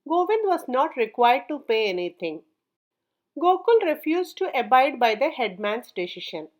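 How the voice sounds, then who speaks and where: A woman narrating a children's story from a script in a measured, clearly enunciated read-aloud manner. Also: high-pitched, big pitch swings.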